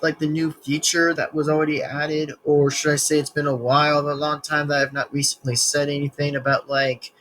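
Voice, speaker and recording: A man's voice: a little high, a bit bright, rough recording. A man in his early twenties hosting a reaction video, rambling and stumbling through restarts as he reads text aloud. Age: 20s. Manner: rambling, many restarts and stumbles while reading. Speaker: reaction channel host.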